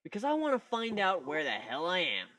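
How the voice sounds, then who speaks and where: A man playing a wisecracking, excitable young male griffin in a theatrical, sarcastic story reading. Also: sits high, a little fast, full character voice. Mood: feigned confusion.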